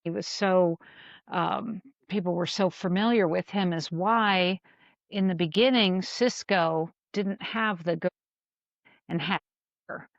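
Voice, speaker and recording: A woman with a low, gravelly creak co-hosts a casual fan chat. She talks haltingly, feeling for her words. The audio is dry and a little dull. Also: dry recording. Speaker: podcast co-host.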